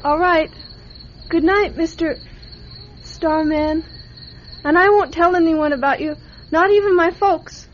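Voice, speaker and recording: A woman's voice: very high voice, measured pace, long pauses. A woman playing an earnest, curious nine-year-old farm girl in a radio drama, with a childlike, hesitant, pleading manner. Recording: dull radio recording, faint noise.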